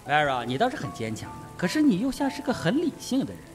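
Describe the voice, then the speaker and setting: Man playing a mocking colonel, swooping highish voice. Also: wildly swooping pitch, fast, music in the background. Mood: coolly probing. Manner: mocking, provocative. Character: sardonic, self-possessed colonel suspected of murder, needling the others. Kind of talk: Mandarin radio mystery drama acting.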